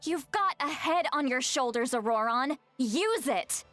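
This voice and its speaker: A sharp-tongued video game granny with a high, swooping voice. She scolds sternly and dramatically, full of exasperation, with music playing behind her. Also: lively intonation, unhurried, no room sound. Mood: scolding, exasperated.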